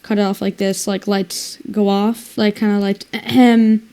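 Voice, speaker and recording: A woman's voice: mid-range voice, little pitch movement, measured pace, warm tone, a little hiss or hum. A woman narrating her video editing in a casual, rambling way, thinking aloud and exclaiming to herself. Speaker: video editor and creator narrating her screen work.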